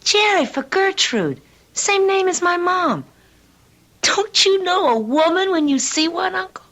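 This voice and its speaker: A woman's voice: very high voice, big pitch swings, measured pace, a bit bright, slight background noise, full character voice. An actress playing a slangy, irreverent seventeen-year-old hippie girl in a radio drama, with a casual, brash manner. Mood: brash, amused.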